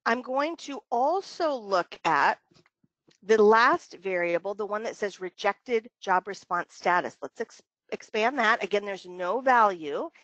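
A dry, slightly muffled webinar recording carries a woman's voice at an average pitch. She is a software trainer guiding listeners step by step in a conversational way. She thinks aloud as she goes and repeats phrases for emphasis. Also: expressive, a little slow, dry recording. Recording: dull, lo-fi dry webinar audio.

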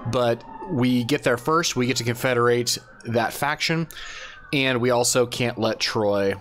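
A man's voice: medium pitch, a little slow, a bit bright, soft background music. Chatty man walking through a strategy game. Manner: chatty, explains step by step, unsure of names. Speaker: gaming channel host. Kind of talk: casual game commentary.

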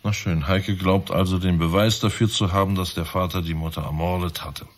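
An actor playing a relentless, sarcastic police inspector probing suspects in a radio crime drama. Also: male voice, low-pitched, steady tone, a little fast. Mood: insistent.

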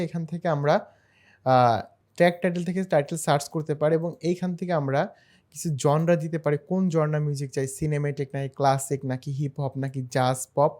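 A man narrating a screen-share software tutorial in a step-by-step, explanatory way. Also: a little high. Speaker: tutorial host.

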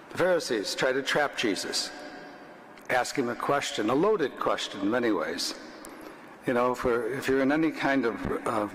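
An older man preaching a homily in a relaxed, conversational way, often stopping to restart a thought. His medium-pitched voice carries a long church reverb from a lectern in the sanctuary. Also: a little hiss or hum. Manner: conversational, plain-spoken, with frequent restarts. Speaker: priest.

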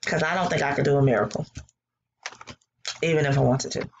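A woman's voice: a bass voice, brisk, gravelly, highs cut off. Woman teacher, encouraging, praising answers, laptop webcam at home, faint hiss. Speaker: teacher reading aloud to a class. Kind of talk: classroom read-aloud lesson. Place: living room at home. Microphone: laptop webcam at close range.

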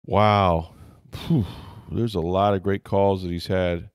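A man's voice: low voice, little pitch movement, slow pace, clean tone, no echo, clean studio sound. A man hosting a sports podcast, chatting casually about games and players. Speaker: sports podcast host and football reporter.

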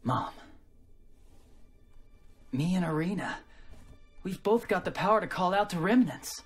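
A man's voice: high-pitched, expressive, a little slow, faint music behind. An actor playing an earnest, impulsive teenage boy hero in a video game, with a casual, youthful, open manner. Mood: distressed. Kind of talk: video game cutscene acting.

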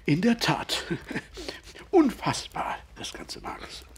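An actor playing a genial, jovial Victorian gentleman doctor in audio drama dialogue. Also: sits high, sing-song, takes it slowly, breathy edge, heavily acted. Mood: amused, hearty.

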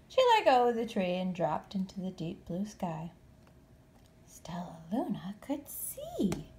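A woman reading a children's picture book aloud as an expressive storyteller. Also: on the low side, slight background noise. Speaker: storybook reader.

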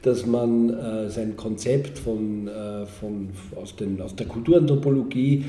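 Middle-aged man with a dark, roomy voice. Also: fairly low pitch, fairly even intonation, measured pace. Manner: thoughtful, academic, searching for words with fillers. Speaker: panel guest, sociologist. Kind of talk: discussion at a public panel. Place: small club room indoors, seated at a panel table. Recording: roomy sound with faint noise.

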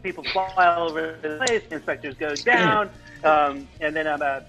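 A man's voice: a little high, normal speed, bright, forceful tone, faint music behind. A man narrating stage directions at a comedy table read, informal, with stumbles and ad-libs.

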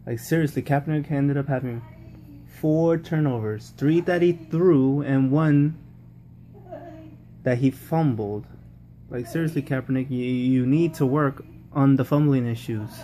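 A man's voice: mid-range voice, a little slow, warm tone, a little hiss or hum. A man giving casual, rambling post-game football commentary, often repeating himself and restarting sentences. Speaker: football vlogger.

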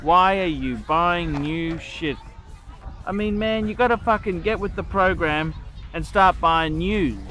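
A man's voice: high-pitched, unhurried, fairly bright tone. A middle-aged man hosting a watch channel, reading a viewer's letter and then giving crude, rambling, profane opinions, recorded outdoors in a park on a lapel mic. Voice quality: blunt, crude and profane, rambling with many restarts. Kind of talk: casual crude vlog rant.

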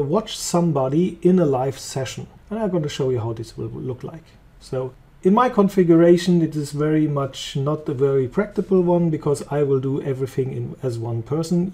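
German-accented man, gravelly and mellow. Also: on the high side. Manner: relaxed, thinking aloud while clicking. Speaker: software trainer. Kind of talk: screen-recorded software demo.

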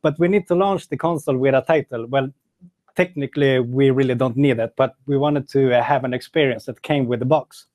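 A man's voice: medium pitch, fairly quick, darkish tone. Man presenting slides at a tech talk.

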